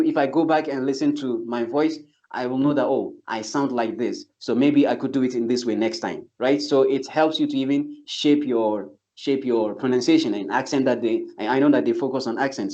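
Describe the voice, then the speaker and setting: Male English teacher, casual explainer, middle pitch, a bit lo-fi. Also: normal speed, a little mellow. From not from Turkey. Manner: earnest, explains step by step with many restarts. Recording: slightly dull lo-fi sound.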